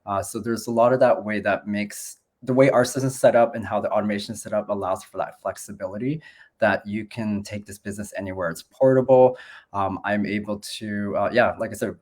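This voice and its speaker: A man from the Philippines in his forties speaks on a group video call in a low voice. He is friendly and conversational and pauses with frequent ums. He talks as a host, introducing himself to the group. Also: fairly even intonation, darkish tone. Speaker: nurse and business owner, call host.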